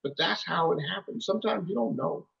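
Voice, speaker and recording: Husky-voiced man telling music stories. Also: high voice, fairly quick, a bit bright, muffled recording. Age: 30s. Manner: rambling, lots of restarts and "you know". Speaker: musician guest. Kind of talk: casual storytelling interview.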